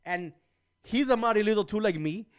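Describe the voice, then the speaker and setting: Brash, high-voiced Cuban-born man, swearing. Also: expressive, a little fast, smooth. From Cuba. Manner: brash, profane, laughing, talks over the host. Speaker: podcast guest, comedian. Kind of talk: casual chat.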